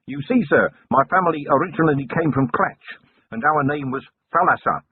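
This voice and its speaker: A man's voice: a little high, animated, brisk, slight background noise, pitched above the performer's own voice, lightly acted. A man doing a frightened, rambling chicken farmer. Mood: anxious. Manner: pleading, over-explaining, keeps saying sir. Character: nervous plump male chicken farmer, rambling and timid. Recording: muffled lo-fi narrow-band recording.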